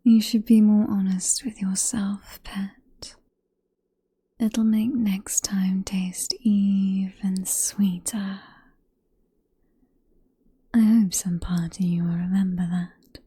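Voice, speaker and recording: A woman with a British accent playing a possessive, seductive vampire professor in a soft, breathy whispered ASMR roleplay, coaxing and teasing with condescending affection. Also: medium pitch, level tone, slow, pauses often.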